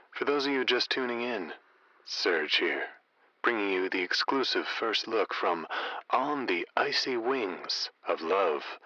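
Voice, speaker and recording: A suave, flowery male late-night radio host for a comedy audio drama. His voice is low with a bright, punchy edge, recorded close and dry. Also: no echo, dull audio, heavily acted. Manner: theatrical, florid, suave.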